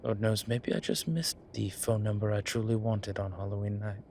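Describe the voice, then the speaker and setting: A man voicing a flirtatious demon professor who keeps up a genteel, professional front, speaking softly and often in a whisper. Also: fairly low pitch, little pitch movement, a little hiss or hum, lightly acted. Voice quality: soft, often whispered, unhurried. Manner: teasing, measured, genteel. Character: flirtatious male demon professor, composed, teasing. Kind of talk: audio roleplay.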